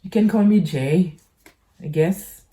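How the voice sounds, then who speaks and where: Low-pitched, unhurried woman, hesitant talker. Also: dark tone. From French Caribbean island. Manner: hesitant, halting, rambling with frequent pauses and repeats. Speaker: YouTube channel host. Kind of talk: casual personal vlog talk.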